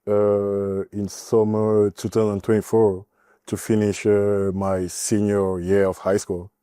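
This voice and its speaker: A young man from Cameroon, a college basketball player giving a podcast interview, speaking haltingly with many fillers. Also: fairly low pitch, level tone, dark tone, smooth, no echo. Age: young adult. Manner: halting, filler-heavy, nervous.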